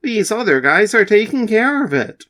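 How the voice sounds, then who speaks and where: A man voicing a worried young woman, speaking in a higher, anxious tone. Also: very animated, moderate pace, sharp tone, full character voice.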